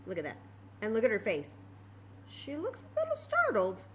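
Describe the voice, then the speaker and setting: A woman storyteller reading aloud to young children in an expressive, chatty way, full of wonder and questions. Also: on the high side, moderate pace, a little hiss or hum.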